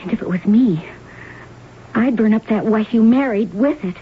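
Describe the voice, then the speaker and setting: A woman's voice: fairly high pitch, moderate pace, slightly rough. A woman playing a worldly, scheming young mistress and gangster's daughter in a slangy 1970s radio drama style. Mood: cool, scheming. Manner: slangy, familiar, hard-boiled. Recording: tinny, noisy old radio broadcast.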